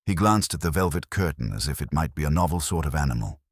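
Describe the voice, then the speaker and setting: A man narrating a period comic mystery from a script in a wry, measured, literary manner. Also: rumbling low, lively intonation, breathy edge, no room sound, studio quality. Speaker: narrator of an audio mystery story.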